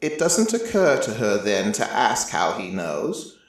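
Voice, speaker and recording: A man playing an ancient, merciless deity who narrates a story in a measured, solemn way with deliberate pauses. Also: lowish voice, huge pitch swings. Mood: grave, reflective. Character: ancient merciless deity, male-voiced, reflective and quietly fond. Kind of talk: scripted first-person dramatic narration. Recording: echoey room, slightly distant from mic.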